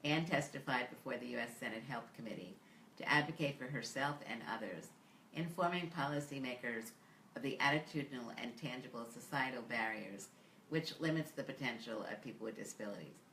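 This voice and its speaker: A woman's voice: very deep voice, brisk, fairly bright tone, reverberant, a little hiss or hum, rough recording. A woman hosting an interview in a friendly way, laughing easily. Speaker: host. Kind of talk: interview host.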